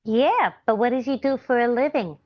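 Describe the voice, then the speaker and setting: Actress as a high-voiced, scatterbrained radio comedy wife. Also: female voice, normal speed, natural voice. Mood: innocent, curious. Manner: innocent, ditzy. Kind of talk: 1940s radio comedy acting. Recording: slightly dull, band-limited sound.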